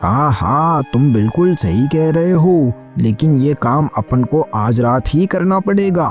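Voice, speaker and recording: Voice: mid-range voice, expressive, unhurried, soft background music, natural voice. A performer voicing a greedy, callous male village moneylender in a cartoon moral story, cold and calculating. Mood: scheming. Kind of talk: Hindi animated moral story dialogue.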